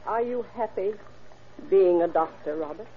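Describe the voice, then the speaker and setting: An actress playing a brooding, class-obsessed, quietly unhinged mother of grown sons in an old radio drama, with a halting, plaintive delivery. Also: lowish voice, close to an everyday voice. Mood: wistful. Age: middle-aged or older. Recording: noisy, tinny old radio recording.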